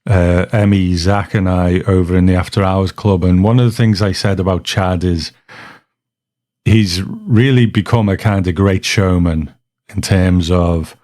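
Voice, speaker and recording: A man's voice: deep voice, a little mellow, no room sound, studio quality. A man hosting a podcast in a casual, conversational way, reflective and given to asides as he introduces listeners. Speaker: podcast host.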